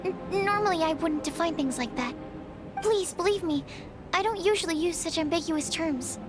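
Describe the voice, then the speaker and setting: A woman's voice: extremely high pitch, normal speed. A woman voicing an earnest young female alchemist in a video game, formal and polite, stammering when flustered. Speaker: game character. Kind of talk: video game cutscene dialogue. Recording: game audio with music behind.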